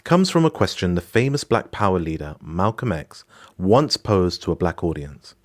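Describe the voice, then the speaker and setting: Animated male documentary podcast host. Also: sits low, moderate pace. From Morocco. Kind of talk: scripted documentary narration.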